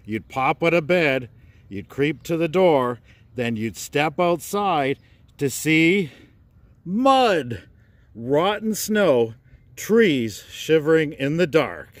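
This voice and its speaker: A man reading a children's storybook aloud as narrator, with deliberate, dramatic phrasing. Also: on the high side, very animated, no room sound. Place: outdoors on a park trail.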